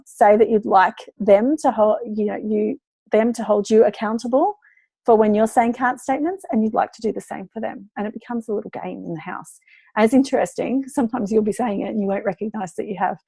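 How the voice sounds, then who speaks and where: A woman's voice: fairly high pitch, a little mellow, no echo. A woman who is losing her voice, talking in a chatty, encouraging way to parents about teaching children. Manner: chatty, encouraging, lots of fillers. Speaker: parenting educator. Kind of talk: casual talk to parents.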